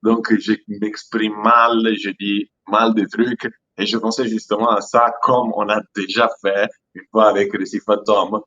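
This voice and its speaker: A man from Italy speaking French with an Italian accent, halting and repeating words as he searches for them in a casual live chat. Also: lowish voice, level tone, bright, forceful tone, dull audio, a little hiss or hum. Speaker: aquarium product company founder.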